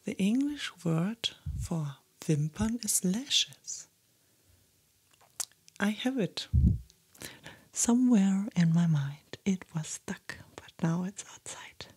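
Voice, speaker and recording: A young woman with a German accent, a native German speaker, whispering slowly and gently as an ASMR creator in a small dark studio on a close binaural microphone. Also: mid-range voice, lively intonation. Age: young adult. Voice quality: breathy whisper. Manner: gentle, slow whispering with soft spoken asides.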